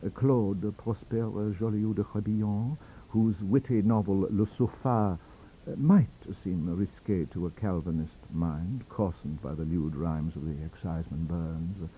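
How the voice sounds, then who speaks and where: A man voicing a high-bred, unruffled professor, pedantic and hesitant, full of cool scorn, in an audiobook story. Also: a little slow, mellow, slight background noise, rough recording. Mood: coolly scornful.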